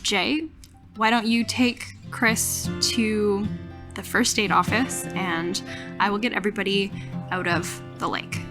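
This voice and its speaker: A woman playing a shaken, protective camp staffer in a tabletop game, halting and repeating herself. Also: mid-range voice, steady tone, lightly acted. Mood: taking charge. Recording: music under the voice.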